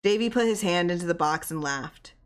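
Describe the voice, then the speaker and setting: Lower-pitched woman reading a short story. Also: lively intonation, brisk. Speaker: story reader. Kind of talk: scripted narration of a short story.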